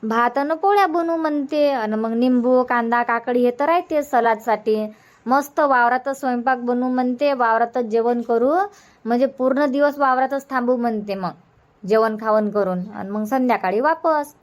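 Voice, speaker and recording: A woman's voice: a little high, moderate pace, natural voice. A woman voicing a chatty, practical village mother in a cartoon comedy, speaking with a Varhadi Marathi accent in a homely, repetitive way. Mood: chatty, explaining. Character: chatty, practical village mother, middle-aged or older, organising an outing.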